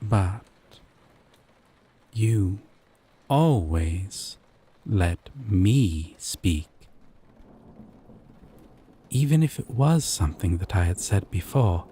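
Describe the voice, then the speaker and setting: A man playing a gentle but obsessive, possessive dating-sim character in an intimate roleplay monologue, with soft breathy delivery and lingering pauses. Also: low voice, expressive, a little hiss or hum, exaggerated voice. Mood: tender, smitten.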